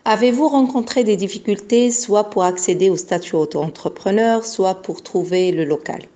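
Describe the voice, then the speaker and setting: A woman's voice: mid-range voice, normal speed, warm tone. A woman hosting a radio program, asking polite, formal questions and addressing her listeners directly. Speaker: radio show host.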